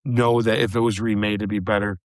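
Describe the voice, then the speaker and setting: Low-voiced man, slightly hoarse podcast host. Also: flat delivery, brisk, no echo, clean studio sound. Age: adult with a daughter. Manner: chatty, addresses listeners as guys, many fillers. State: slightly hoarse, recovering from a cold. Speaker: podcast host reviewing horror films. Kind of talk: casual solo movie review.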